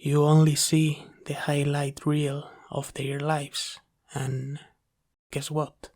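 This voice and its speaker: Slow, soft-spoken gentle demon played by a man. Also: fairly high pitch, flat delivery, creaky. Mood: tender, reassuring. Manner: soft-spoken, hesitant, reassuring, pausing often. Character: gentle, apologetic, wholesome male sleep paralysis demon. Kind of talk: ASMR roleplay, soft comforting sleep aid.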